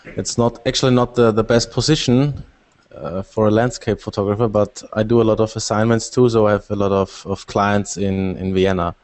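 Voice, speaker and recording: A man from Austria with a low, even voice that barely rises or falls. He talks casually and haltingly, doubling back on his words. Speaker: landscape photographer. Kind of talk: casual self-introduction.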